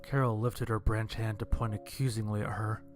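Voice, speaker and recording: Guy narrating a story, low flat voice, bit of music under it. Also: moderate pace.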